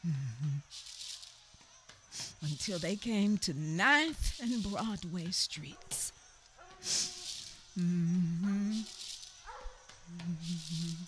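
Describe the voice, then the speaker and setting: A woman narrating a radio play in a slow, halting way, repeating words, swinging between raised cries and low whispers. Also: lively intonation, noisy background. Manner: drops to a whisper at times. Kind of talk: dramatic spoken-word storytelling.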